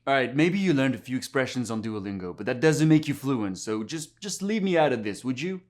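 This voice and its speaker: A man's voice: mid-range voice, expressive, brisk, darkish tone. A man who is a non-native English speaker giving a casual, frank video lesson on learning a language. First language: not English. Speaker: language teacher YouTuber.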